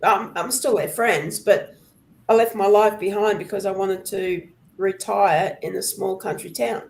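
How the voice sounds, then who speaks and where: A woman's voice: mid-range voice, steady tone, unhurried, creaky, darkish tone, slight background noise. A woman in her sixties chatting casually as a panel guest, hesitant and meandering as she gathers her thoughts. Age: 60s. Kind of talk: casual chat.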